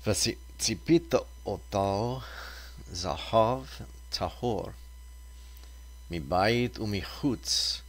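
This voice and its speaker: Man, low-pitched voice, Torah reader, deliberate scripture reading, short halting phrases. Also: poor audio.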